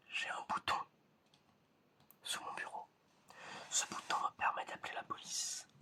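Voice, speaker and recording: A man playing a stern, menacing examiner in an ASMR roleplay, whispering slowly and deliberately. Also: sharp tone. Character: stern, menacing examiner testing a suspected robot.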